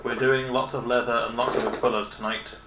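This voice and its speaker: A man's voice: mid-range voice, flat delivery, brisk, heavy background noise. A man live-streaming his miniature painting at a home desk, muttering to himself and chatting to viewers. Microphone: webcam perched on a lamp.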